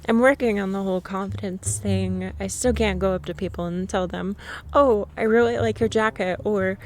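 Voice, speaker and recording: A young woman in a scripted ASMR roleplay, playing a shy, nervous stranger who rambles and laughs nervously in a soft voice. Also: mid-range voice, fairly even intonation, normal speed.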